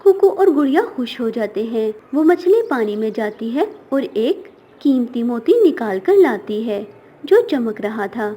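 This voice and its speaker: A woman's voice: a little high, very animated, measured pace, dark tone, husky. A woman storyteller reading scripted narration for a children's story.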